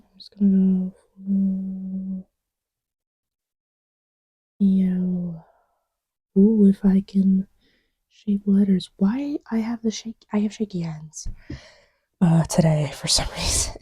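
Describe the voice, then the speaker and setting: A woman playing an easygoing girlfriend in a soft ASMR roleplay, rambling hesitantly in a breathy murmur that often drops to a whisper. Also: mid-range voice, no room sound, poor audio. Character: easygoing girlfriend chatting idly while testing markers.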